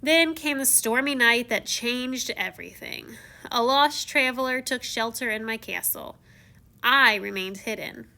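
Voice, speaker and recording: Storybook prince-turned-beast, read by a woman: dramatic, self-mocking, confiding, bright higher voice. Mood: wry, rueful. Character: theatrical, once-shy young prince turned beast, telling his tale. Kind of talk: first-person storybook read-aloud.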